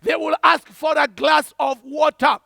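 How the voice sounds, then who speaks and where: A man preaching a fervent evangelistic sermon, shouting and repeating his points. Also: very high voice, level tone, measured pace, gravelly, no room sound, clean studio sound.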